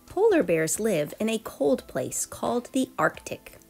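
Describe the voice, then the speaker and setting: Animated, unhurried woman reading to children. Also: on the high side. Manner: clear, expressive, addressing young children. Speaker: storyteller, children's read-aloud host. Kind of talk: read-aloud of a children's nonfiction book. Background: background music.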